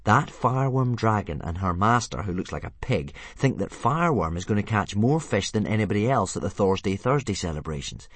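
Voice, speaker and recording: A man voicing an exasperated young boy who coaxes and pleads with his pet dragon, sometimes yelling. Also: fairly low pitch, expressive, a little fast, hardly any pauses, slightly rough, no echo. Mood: coaxing.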